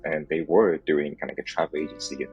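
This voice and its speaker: A man's voice: extremely low pitch, flat delivery, fast talker, a little mellow, slightly rough, muffled recording, soft background music. A man in his thirties, raised in South Africa and Taiwan, telling his founder story in a rambling interview and laughing often. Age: 30s. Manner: rambling, laughs often, non-native phrasing. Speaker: startup founder guest. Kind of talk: casual podcast interview. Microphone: remote call from home.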